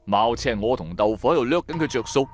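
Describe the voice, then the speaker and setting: A man's voice: mid-range voice, lively intonation, fast talker, faint music behind, lightly acted. A man playing a shrewd, wry trader who narrates his own adventures in colloquial, sardonic radio-drama style. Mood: wry, animated. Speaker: narrator and lead character.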